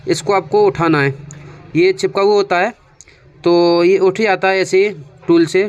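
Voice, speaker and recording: A man with an Indian accent narrates a hands-on repair tutorial as a technician, explaining step by step in a conversational way and often repeating his instructions. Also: high voice. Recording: clearly noisy background.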